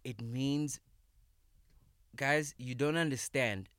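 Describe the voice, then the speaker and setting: A man in his thirties, a comedian guest in a podcast studio, talking casually, emphatic and animated, swearing freely. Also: mid-range voice, takes it slowly, smooth, dry recording. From South Africa. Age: 30s. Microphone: studio microphone.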